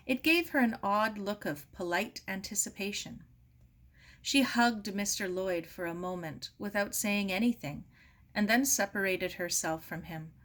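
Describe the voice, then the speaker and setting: A woman narrating a novel as an audiobook reader, expressive, deliberate and grave. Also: medium pitch. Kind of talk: dramatic scripted narration of a novel.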